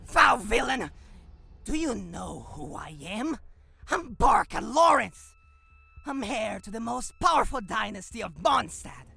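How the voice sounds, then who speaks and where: A woman's voice: on the high side, very animated, unhurried, vocal fry, sharp tone, breathy edge, soft background music, exaggerated voice. A performer, heard as a woman, playing a spoiled, arrogant young male lordling in a manga dub, pompous and indignant. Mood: haughty, threatening.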